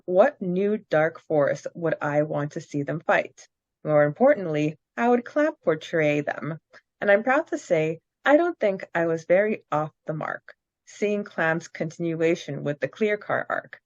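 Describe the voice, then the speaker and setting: A woman's voice: low-pitched, lively intonation, no echo. A woman co-hosting a casual fan podcast, reading out questions and answers and chatting. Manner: reads questions aloud, quick bursts, laughs often. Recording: dry, dull lo-fi sound.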